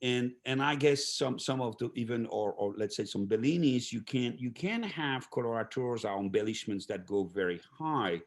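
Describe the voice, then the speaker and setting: A man's voice: medium pitch, moderate pace, few pauses. A man with a French accent hosting an interview, encouraging the guest and finishing his sentences. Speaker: host, conductor. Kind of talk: interview talk.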